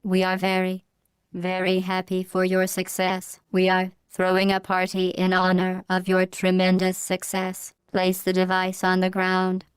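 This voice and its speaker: A woman playing a coldly polite, menacing artificial intelligence in a video game, deadpan and formal, with a synthetic voice flattened to a monotone. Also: measured pace, clear, resonant tone, no echo. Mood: falsely cheerful. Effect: synthetic robot voice, pitch flattened to monotone. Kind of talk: scripted video game voice lines.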